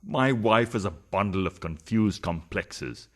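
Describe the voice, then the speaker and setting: A man acting an impatient philandering husband in a radio farce, cajoling like a smooth talker. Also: fairly low pitch, animated, a little slow. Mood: dismissive. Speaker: radio play actor. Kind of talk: farcical radio play acting.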